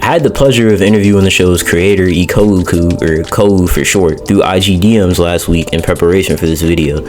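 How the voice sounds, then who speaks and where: Deep-voiced young American guy, video commentary. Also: brisk, over music. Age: young adult. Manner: slangy, joking asides. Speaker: YouTube host reviewing indie animation. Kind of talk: casual scripted video essay commentary.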